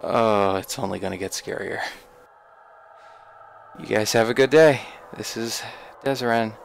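A man's voice: on the low side, unhurried, gravelly, a little hiss or hum. A man hosting a let's play, giving casual, hesitant, informal game commentary as if talking to himself. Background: horror game audio.

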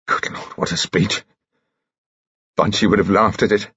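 A man voicing a restrained, determined gentleman detective in an audiobook. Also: lowish voice, fairly even intonation, measured pace, breathy edge, dry recording, lo-fi sound, exaggerated voice. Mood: rueful. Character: grave, determined gentleman detective, vengeful friend.

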